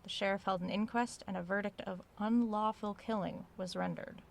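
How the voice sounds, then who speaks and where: An actress playing a curious, self-aware researcher in an audio drama, recording a spoken log in a conversational, measured way. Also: female voice, mid-range voice, fairly even intonation, vocal fry, close to an everyday voice. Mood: absorbed, measured. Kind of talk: audio drama log, reading aloud and musing.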